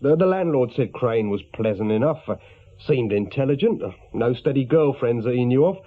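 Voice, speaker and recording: Male actor as English police subordinate, plain-spoken and hesitant, deferential, mid-pitched, tinny band-limited radio drama audio. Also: expressive, normal speed, lightly acted. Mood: reporting. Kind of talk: naturalistic British radio crime drama acting.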